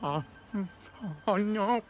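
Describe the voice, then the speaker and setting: A man's voice: high-pitched, extremely slow. A man voicing a timid, worrying, reluctant sidekick in a children's cartoon, hesitant and fretful. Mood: worried. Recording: music behind the voice.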